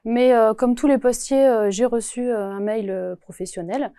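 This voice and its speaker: Hesitant woman in her forties, mellow voice. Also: on the high side, steady tone, clear, resonant tone, no room sound. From Paris region, France. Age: 40s. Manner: hesitant, many filler pauses. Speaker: postal worker, interview guest. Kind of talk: answering interview questions.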